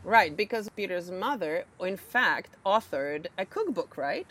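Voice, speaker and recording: A woman interviewing guests, asking animated, probing questions. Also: on the low side, unhurried.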